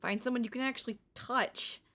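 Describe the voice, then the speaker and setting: A woman's voice: on the high side, steady tone, a little fast, bright, forceful tone. A woman voicing a cute, affectionate young ghost girl in an intimate ASMR audio roleplay, casually frank and hesitant. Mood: tender, reluctant. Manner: casual, hesitant, matter-of-fact about grim things. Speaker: voice actor.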